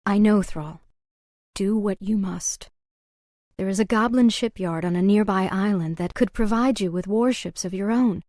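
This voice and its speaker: A woman playing a gentle, sorrowful young noblewoman in a fantasy game, pleading softly and earnestly. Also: mid-range voice, moderate pace, no room sound, studio quality, close to an everyday voice. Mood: sad, resigned. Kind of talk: fantasy video game acting.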